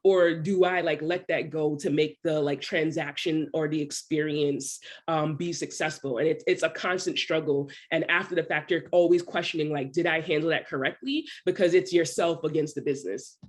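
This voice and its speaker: A woman's voice: sits low, fairly even intonation, normal speed, hardly any pauses. A woman with a New York accent speaking as a panelist, earnest and reflective, laughing between points. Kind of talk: candid panel talk.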